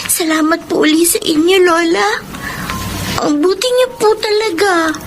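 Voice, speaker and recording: A woman's voice: very high voice, measured pace, over music. A woman playing a sweet, polite, worried young daughter in a Filipino radio drama. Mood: gentle, concerned.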